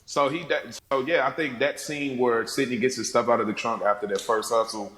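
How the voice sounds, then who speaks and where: A man casually discussing a film, retelling things in an animated way with acted-out quotes and frequent chuckles. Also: medium pitch, brisk. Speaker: podcast panellist. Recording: echoey room.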